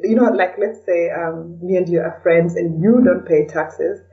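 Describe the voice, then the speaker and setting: A woman's voice: lowish voice, fairly even intonation, measured pace. A woman speaking as an interview guest in a chatty, conversational way, often adding "you know". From Kenya. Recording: dull, distant lo-fi microphone.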